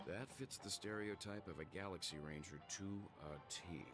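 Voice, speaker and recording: A man's voice: deep voice, normal speed, lightly acted. An actor voicing a serious, suspicious, reasoning man in video game dialogue, grave and articulate, over game music. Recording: game music playing under the voice.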